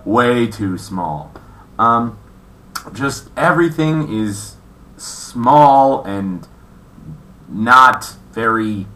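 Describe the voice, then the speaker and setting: Lively, bright-voiced young man vlogging. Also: fairly low pitch. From California, USA. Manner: rambling, with many fillers and restarts. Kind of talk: casual complaining monologue. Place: apartment in South Korea.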